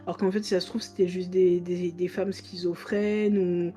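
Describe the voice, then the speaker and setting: A woman guest on a live stream in casual chat, calmly explaining her views. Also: lowish voice, moderate pace, soft background music.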